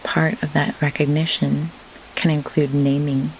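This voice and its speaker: A woman leading a guided meditation in measured, deliberate phrases with pauses, as a host who works as a grief doula. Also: low voice, rough recording. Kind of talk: slow guided meditation instruction. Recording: clear pink-noise rain hiss behind. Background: pink noise like steady rain.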